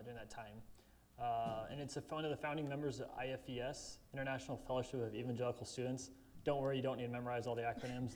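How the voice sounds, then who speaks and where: A young man on campus ministry staff walks through slides in a relaxed way. He drops joking asides as he goes, and faint room ambience sits under his voice. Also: medium pitch, slight background noise. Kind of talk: presentation with slides.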